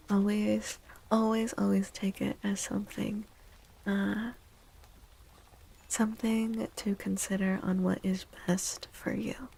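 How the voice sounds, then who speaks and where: A woman streaming soft-spoken ASMR, chatting gently and playfully with viewers, often close to a whisper and repeating little phrases. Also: mid-range voice, low-quality recording. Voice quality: soft, breathy, husky, often near whisper. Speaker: ASMR streamer, tarot reader.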